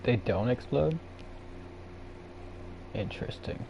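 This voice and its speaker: A man's voice: medium pitch, animated, takes it slowly, creaky, dull audio, noisy background. A man with a Canadian accent chatting casually on a livestream, rambling with lots of fillers and restarts as he answers viewers. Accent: Canadian English. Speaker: streamer answering chat.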